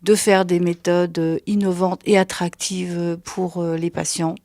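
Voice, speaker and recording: A woman speaking as a health manager, explaining services in an informative way with hesitant pauses between short phrases. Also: fairly low pitch, level tone, gravelly, mellow, no room sound, studio quality.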